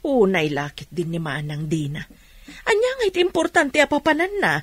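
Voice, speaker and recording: Radio drama mother, Ilocano accent: patient, kind, moralizing, lively intonation, close dry sound. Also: mid-range voice, normal speed, husky, no echo. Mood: gentle, concerned. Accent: Filipino, Ilocano. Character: patient, kind, gently counselling Filipino mother.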